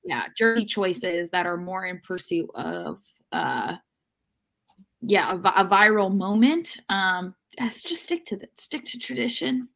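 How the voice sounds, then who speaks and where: A woman's voice: medium pitch, animated, a little slow, creaky, fairly bright tone. A woman in her thirties with an American accent, giving wry opinions in a casual sports chat. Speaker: co-host and team reporter. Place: room at home. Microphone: video call.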